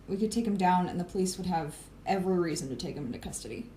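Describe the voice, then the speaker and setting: A woman's voice: on the low side, expressive, fast talker, mellow, lightly acted, low-quality recording. A woman acting a sardonic, matter-of-fact superpowered team planner in an audio drama. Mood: confident, plotting. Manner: casual, swearing, matter-of-fact. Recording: clear background noise.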